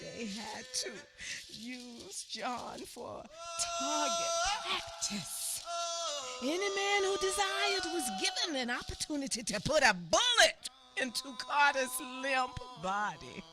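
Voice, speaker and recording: A woman playing a grieving eyewitness in a radio theater monologue, telling her story haltingly and repeating phrases for emphasis. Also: high voice, big pitch swings, loud music behind the voice, full character voice. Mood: sorrowful. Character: grieving female eyewitness recounting a mob lynching in anguish.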